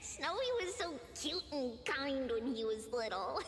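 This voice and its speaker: A woman voicing a gentle, caring, girlish young singer in a video game, with slow, tender delivery. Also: high voice, sing-song. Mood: tender, nostalgic. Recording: long reverb, faint music behind.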